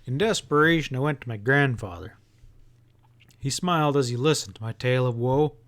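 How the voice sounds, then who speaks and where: Male audiobook reader, mid-range pitch, folksy, expressive first-person storytelling. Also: fairly quick.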